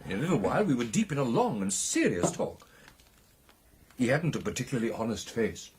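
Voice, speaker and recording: Husky, highly animated British gentleman storyteller. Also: fairly quick. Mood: earnest, reflective. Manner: theatrical, hesitating and emphatic. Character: excitable, amused club gentleman telling his ghost encounter. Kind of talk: dramatic storytelling in a radio play.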